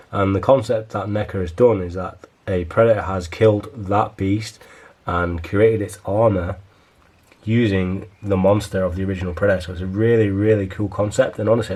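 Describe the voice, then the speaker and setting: A man with a very low, hoarse voice that sounds worn out, as if he is losing it. He talks about his collection in a loose, rambling way and often restarts his sentences, like a casual vlogger showing off his shelves. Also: warm tone. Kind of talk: casual show-and-tell of a collection.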